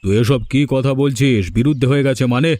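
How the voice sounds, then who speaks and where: A man's voice: medium pitch, fast, darkish tone, dry recording, faint music behind, close to an everyday voice. A man playing a domineering older village headman, the scolding villain of a folk-tale cartoon. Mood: angry, domineering. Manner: scolding, commanding.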